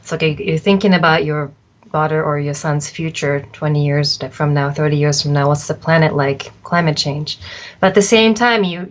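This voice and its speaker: Brief: middle-aged woman from Japan, interview guest, low voice, reflective and unhurried. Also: muffled recording. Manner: thoughtful, measured, with frequent um fillers. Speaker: arts agency founder, interview guest.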